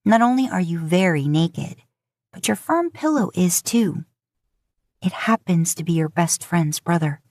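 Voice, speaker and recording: A woman narrating scripted romantic fiction in a measured, expressive storytelling manner. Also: lowish voice, dry recording, studio quality. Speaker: podfic narrator.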